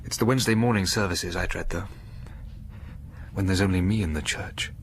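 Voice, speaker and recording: An actor playing a diffident, uneasy vicar in a radio drama, gentle and hesitant. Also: male voice, low voice, fairly quick, slight background noise. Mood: wry. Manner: hesitant, flustered.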